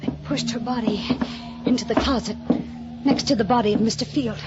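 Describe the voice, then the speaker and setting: A woman's voice: fairly high pitch, brisk, lightly acted. An actress playing a secretive, reckless murderess who narrates her own crime with dramatic intensity in 1950s radio mystery style. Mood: tense narration. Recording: dull old radio recording, loud music behind.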